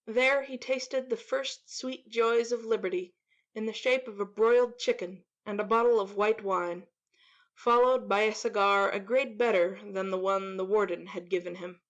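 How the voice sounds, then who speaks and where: A woman's voice: mid-range voice, steady tone, moderate pace, muffled recording. A woman reading a classic story aloud as an audiobook narrator, in scripted narration.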